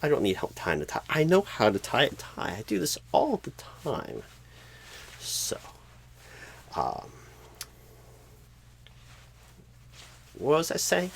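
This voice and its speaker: A man voicing a clueless, flustered job recruiter in an ASMR comedy roleplay, stammering and pausing oddly in a husky, creaky voice. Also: a little high, a bit bright, full character voice. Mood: flustered, eager. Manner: halting, stammering, odd pauses and sudden swoops. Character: bumbling, clueless, flustered male job recruiter, celebrity impression.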